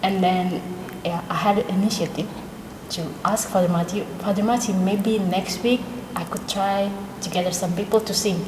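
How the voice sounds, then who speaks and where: A woman's voice: mid-range voice, steady tone, normal speed. A woman with an Indonesian accent recounting, in simple phrases, how her church choir started. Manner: plain storytelling, simple phrasing. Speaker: parishioner, choir organizer. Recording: roomy echo with clear background noise.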